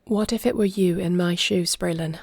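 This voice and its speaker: A low, faintly gravelly queen of a fantasy audio drama, acted by a woman, speaks with stately, formal diction. She is regal and resolute, with anguish under her commands, and the sound is close and dry. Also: steady tone, moderate pace, a little mellow, dry recording. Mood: troubled, uncertain. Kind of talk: fantasy audio roleplay acting.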